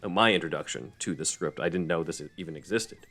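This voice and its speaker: A man's voice: low-pitched, quick pace, bright, forceful tone. A man hosting a podcast, chatting casually in a rambling, conversational way. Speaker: podcast host. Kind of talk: casual interview chat.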